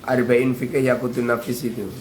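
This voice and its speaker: Male religious teacher with a Javanese accent, casual and joking, mid-pitched, roomy recording. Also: level tone, brisk, slight background noise. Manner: chatty, joking, reels off long lists. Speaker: Islamic boarding school teacher. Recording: roomy with faint ambience.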